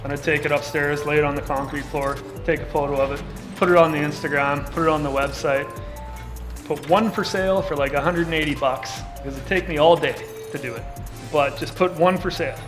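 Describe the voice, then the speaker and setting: A man's voice: a little high, fairly quick. A middle-aged man from Canada speaking casually in a documentary interview, with lots of filler words. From Saskatchewan, Canada. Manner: relaxed and casual, with many filler likes. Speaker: co-founder and designer. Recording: interview with music playing underneath.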